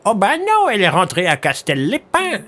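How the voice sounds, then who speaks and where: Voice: medium pitch, wildly swooping pitch, moderate pace, a bit bright. An actor playing a chatty, rambling and senile but cheerful old village woman in an audio drama. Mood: chatty, folksy. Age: older.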